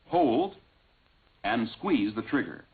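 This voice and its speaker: A man reading scripted instructional narration in a measured, clearly enunciated way, on an old film soundtrack. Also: lowish voice, animated. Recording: tinny, band-limited vintage film soundtrack.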